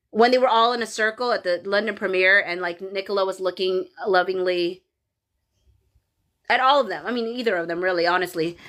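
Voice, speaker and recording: A woman with a Filipino accent chats casually on a livestream, reading viewer comments aloud. She rambles, restarts her sentences and fills pauses with "like" and "um." Her voice sits at a middling pitch. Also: sharp tone. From Philippines. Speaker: livestream host reading chat.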